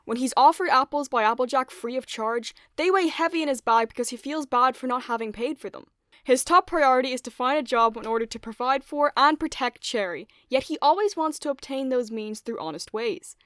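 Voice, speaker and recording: Higher-pitched woman narrating a video essay. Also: brisk, fairly bright tone, no echo, studio quality.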